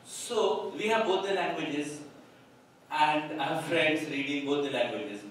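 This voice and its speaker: A man's voice: medium pitch, far from the mic, slight background noise. A male author whose first language is Hindi, chatting and joking on a literature festival panel. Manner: chatty, jokes with the audience. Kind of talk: panel discussion. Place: large hall on a festival stage. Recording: echoey hall, mic from afar.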